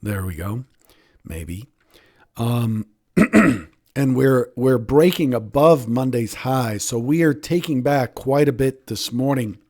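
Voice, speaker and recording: A man, a professional trader and show host, giving daily market analysis in a hoarse voice, clearing his throat often. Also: mid-range voice, takes it slowly, no room sound, clean studio sound. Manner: steady analytical talk with frequent throat clearing.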